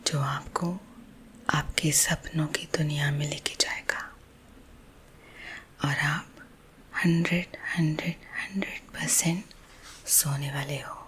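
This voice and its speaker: Woman, Indian accent, breathy whisper, low pitch, soothing guided visualisation, husky, gentle. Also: steady tone. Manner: slow, soothing, gentle, hushed. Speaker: ASMR host and sleep hypnosis guide. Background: soothing music.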